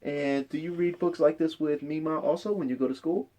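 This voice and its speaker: Man with a mellow voice, encouraging. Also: fairly high pitch, steady tone, a little fast. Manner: encouraging, asks simple questions. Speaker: father interviewing his child on a storytime show. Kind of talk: casual chat with a child.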